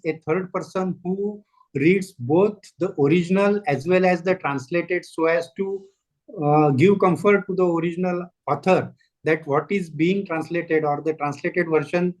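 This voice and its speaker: A man with an Indian English accent hosting an interview, polite and hesitant, often pausing on fillers. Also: high-pitched, a little mellow, rough recording. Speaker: interviewer host. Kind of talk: interview conversation.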